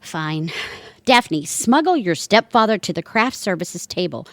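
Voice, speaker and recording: An actress playing a bossy, quick-thinking schemer with a commanding manner in a comedic audio play. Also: female voice, on the low side, expressive, no echo. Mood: bossy, urgent. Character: bossy, quick-thinking woman organizing a caper. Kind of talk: comedic audio theatre acting.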